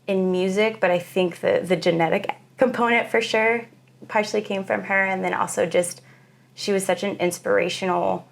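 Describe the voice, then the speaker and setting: A young woman with a very low voice, a guest musician talking in a casual, halting interview with lots of fillers. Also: steady tone, gravelly. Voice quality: very low female voice. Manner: reflective, halting, many fillers.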